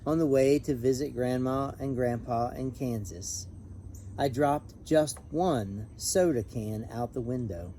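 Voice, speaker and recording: A man reading a children's picture book aloud in an expressive, animated, emphatic way. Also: medium pitch, takes it slowly, a little hiss or hum. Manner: animated, emphatic on repeated refrain. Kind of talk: expressive storybook read-aloud with brief asides.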